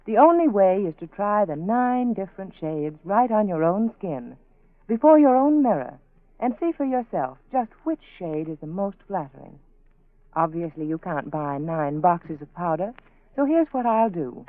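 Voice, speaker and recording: A narrow, phone-like old radio recording of an American spokeswoman. She delivers scripted advertising copy in a measured, persuasive way, with animated swings of pitch and a dry, close sound. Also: no echo. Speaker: sponsor's spokeswoman.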